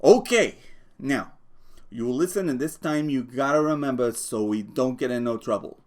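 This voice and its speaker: A man's voice: medium pitch, normal speed, close to an everyday voice. A man voicing a small, irritable working man who scolds and swears, from a storybook. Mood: exasperated. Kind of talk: audiobook character voice.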